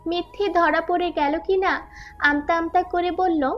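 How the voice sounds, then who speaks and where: A woman's voice: very high-pitched, steady tone, measured pace, highs cut off. A woman narrating a scripted romantic audio story. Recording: music under the voice.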